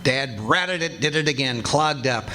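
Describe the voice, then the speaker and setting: A man's voice: mid-range voice, unhurried, lightly acted. A man playing a blustery, boastful, folksy husband who tells tall tales in an old-time radio comedy. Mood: grumbling. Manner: folksy, grumbling, exclamatory. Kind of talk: 1940s radio comedy acting before a live audience. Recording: noisy live stage recording with clicks.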